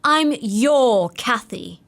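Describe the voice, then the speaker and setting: A woman playing a willful, volatile young gentlewoman in an impassioned, theatrical period audio drama. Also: on the high side, lively intonation, extremely slow, vocal fry, exaggerated voice. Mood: haughty, scornful. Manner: impassioned, theatrical, swinging from tender to imperious.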